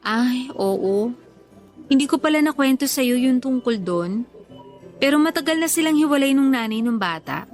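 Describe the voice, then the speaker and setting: A coy young woman in love, a little defensive. Her voice is high, and music plays softly behind the radio drama dialogue. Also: animated, moderate pace. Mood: defensive, sheepish.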